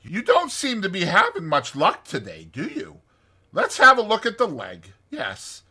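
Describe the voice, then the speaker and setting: Guy doing a bossy resourceful rat from a storybook, pitched way up, bright and emphatic. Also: huge pitch swings, measured pace, exaggerated voice. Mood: kindly, attentive. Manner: emphatic, exclaiming. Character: brisk, bossy, resourceful rat, adult male animal. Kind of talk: dramatized audiobook character voice.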